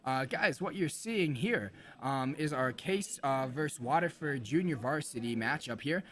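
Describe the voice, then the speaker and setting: A slightly hoarse man hosting a live esports stream, commentating casually with informal, joking banter. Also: medium pitch, animated, moderate pace, fairly bright tone.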